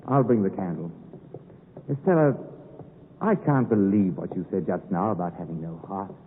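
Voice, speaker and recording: A man playing an earnest, polite young man aspiring to be a gentleman in 1950s radio drama style, on a tinny recording. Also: low-pitched, lively intonation, normal speed, slight background noise. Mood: earnest, tender. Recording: tinny 1950s radio broadcast, faint music.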